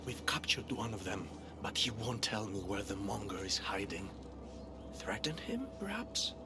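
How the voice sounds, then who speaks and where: An actor playing an oily, falsely humble traitor in a game cutscene, speaking formally and smoothly, slipping into near-whispers. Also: male voice, fairly low pitch, big pitch swings. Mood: sly, persuasive. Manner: smooth, ingratiating, formal, deliberate. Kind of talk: video game cutscene acting. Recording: loud music behind.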